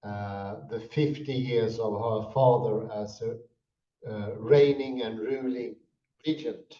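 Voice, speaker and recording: A man's voice: fairly low pitch, fairly even intonation, slow pace, mellow. A Swedish man in casual discussion, musing and repeating words as he thinks. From Sweden. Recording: distant, muffled, echoey.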